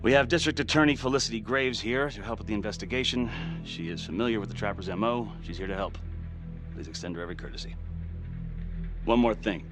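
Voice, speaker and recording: Crime game police chief, male actor: blunt, authoritative, lowish register, prominent score underneath. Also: fast, natural voice. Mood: firm, businesslike. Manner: blunt, commanding. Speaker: police commander. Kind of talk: cinematic crime game acting. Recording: dramatic music behind the voice. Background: music.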